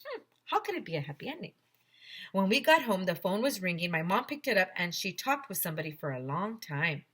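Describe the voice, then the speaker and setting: A woman teacher reading a story aloud to children with expressive, emphatic narration. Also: fairly low pitch, brisk, slightly rough.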